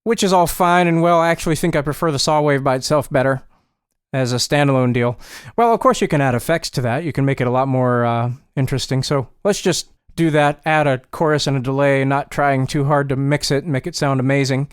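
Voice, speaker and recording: Clear-voiced man, casual and offhand, close dry sound. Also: medium pitch, a little fast, no room sound. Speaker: tutorial presenter. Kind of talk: casual spoken software demonstration.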